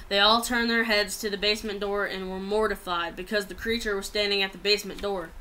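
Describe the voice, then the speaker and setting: Bright, clear young woman reading aloud. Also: medium pitch, steady tone, brisk. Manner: plain read-aloud delivery with stumbles over words. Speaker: amateur writer reading own story. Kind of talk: reading a written story aloud. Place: small room at home, indoors.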